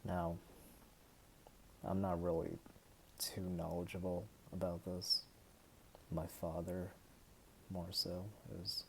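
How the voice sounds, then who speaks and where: Slow, gravelly young man vlogging. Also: low-pitched, monotone, slight background noise, low-quality recording. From United States. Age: 20s. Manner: hesitant, halting and soft-spoken. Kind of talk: personal talk to camera.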